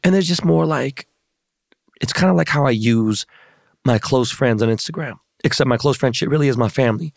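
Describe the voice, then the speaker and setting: A hoarse man hosting a podcast in casual chat, talking in slangy, profane, hyped-up street style. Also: mid-range voice, brisk, no room sound, clean studio sound. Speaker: podcast host.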